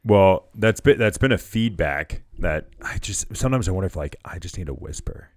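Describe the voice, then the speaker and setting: A man's voice: low-pitched, lively intonation, moderate pace, darkish tone, no echo, studio quality. A man co-hosting a podcast in an easygoing way, laughing often and answering briefly. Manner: easygoing, laughs often, short agreeing replies. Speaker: podcast co-host. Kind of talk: casual podcast chat.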